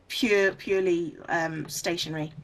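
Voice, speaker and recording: A woman's voice: fairly low pitch, steady tone, poor audio. Southern English woman, hesitant. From England. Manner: hesitant, halting with fillers. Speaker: game player. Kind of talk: casual gameplay chat.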